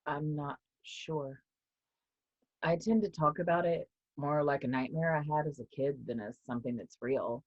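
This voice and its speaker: Low, husky, guarded female professor acted by a woman. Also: no echo. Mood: guarded, troubled. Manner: guarded, measured. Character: guarded female professor, haunted, reluctant, weary. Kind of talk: staged play reading. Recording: dull, low-fidelity sound.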